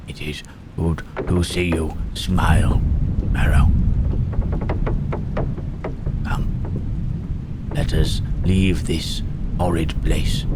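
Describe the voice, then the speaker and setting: Man voicing a very deep, slow, gentle monster. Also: exaggerated voice. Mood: fond. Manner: halting, hushed, soothing, formal phrasing. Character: gentle, remorseful Frankenstein's creature, huge, protective male monster. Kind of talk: ASMR roleplay acting. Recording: loud rain and thunderstorm noise.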